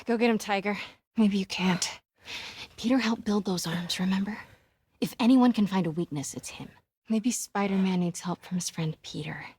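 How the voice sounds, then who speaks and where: A young American woman reporter in a video game. She is determined and caring, with a wry, conversational delivery and thoughtful pauses. Her voice sits at a medium pitch in a very dry, close recording. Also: darkish tone, husky, no echo. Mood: earnest, hopeful. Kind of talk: naturalistic video game voice acting.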